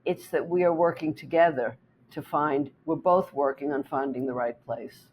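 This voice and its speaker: A woman real estate agent whose first language is German, calmly explaining her work and telling a client story in an interview. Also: deep voice, normal speed, slight background noise, poor audio. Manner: steady, explanatory, with small restarts.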